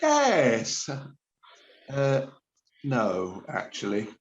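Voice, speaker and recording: A man's voice: medium pitch, huge pitch swings, extremely slow, full character voice. A man playing an awkward, sheepish shopper in a radio play, hesitant, stammering and evasive. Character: awkward, sheepish panic-buying supermarket shopper. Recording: slightly dull lo-fi sound.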